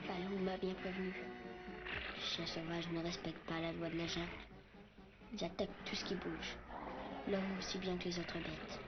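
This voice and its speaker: A woman's voice: fairly low pitch, little pitch movement, normal speed, long pauses, close to an everyday voice. A woman voicing a young jungle boy, wary, earnest and plucky, in a French-dubbed cartoon. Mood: worried. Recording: muffled cartoon soundtrack, loud music behind.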